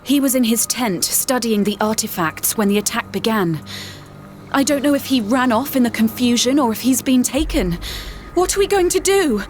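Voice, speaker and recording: Earnest expedition member, voiced by a woman: urgent, mid-pitched, soft score under her. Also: expressive, normal speed, faint music behind, natural voice. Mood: worried. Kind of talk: video game cutscene acting.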